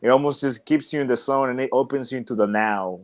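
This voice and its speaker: A man's voice: mid-range voice, quick pace. Young man rambling with many fillers. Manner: rambling and reflective, many "like" and "you know" fillers. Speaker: professional wrestler, podcast guest. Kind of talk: casual interview chat.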